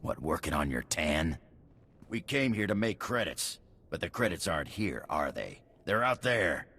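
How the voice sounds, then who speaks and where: An actor playing a gruff, scornful mercenary boss in a video game, sneering and blunt. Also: male voice, low voice, sing-song, moderate pace, bright, forceful tone, full character voice. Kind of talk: video game character acting.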